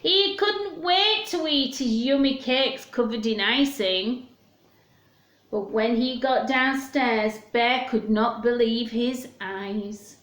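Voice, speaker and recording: A woman's voice: on the high side, slow pace, a bit bright, reverberant. A woman reading a story aloud to young children, speaking simply and expressively to her listeners.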